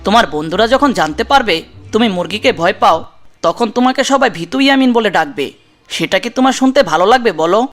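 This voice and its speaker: A woman voicing a caring young guardian in a children's cartoon, coaxing and gently teasing, with a Bangladeshi accent. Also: fairly high pitch, animated, fast talker, soft background music, close to an everyday voice.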